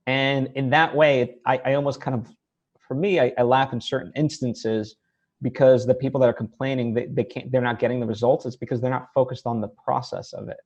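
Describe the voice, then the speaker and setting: Guy hosting a chill interview, thinks out loud and repeats words, bit muffled lo-fi audio. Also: medium pitch, fairly even intonation, fairly quick. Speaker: interview host. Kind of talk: casual reflective conversation.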